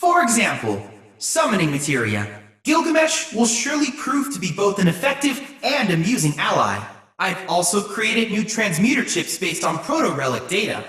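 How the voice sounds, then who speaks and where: Eager, lively android boy. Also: high voice, normal speed, breathy edge, dry recording, full character voice. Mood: earnest, purposeful. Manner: formal, precise, earnest. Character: polite, eager, bookish android boy research assistant. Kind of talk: scripted video game dialogue.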